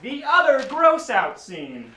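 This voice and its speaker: Woman announcer with wildly swooping pitch. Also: very animated, slow, pushed and bright. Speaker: announcer of parody scene titles. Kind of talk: short announced chapter titles. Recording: echoey room with clear noise.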